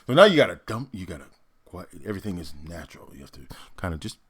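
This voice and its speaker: A man, an actor, giving casual reaction commentary and explaining stagecraft in an emphatic way, often halting as he thinks aloud. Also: deep voice, big pitch swings, slightly rough.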